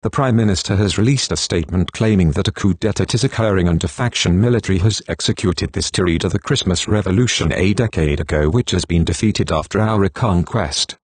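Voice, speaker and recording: Man, very low pitch, slightly rough synthetic tone, formal emergency announcer, flat measured reading. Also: no echo.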